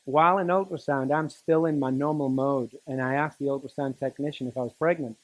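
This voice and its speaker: Middle aged guy, giving a personal motivational talk, kinda halting and chatty, dry humour. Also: medium pitch, steady tone, rough recording. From Newcastle, northern England. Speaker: teacher and coach giving a speech to students.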